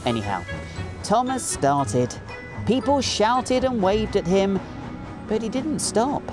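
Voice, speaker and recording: A man in his forties with a British accent, narrating a children's story in an animated reading style. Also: high-pitched, takes it slowly, music in the background. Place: small indoor recording studio.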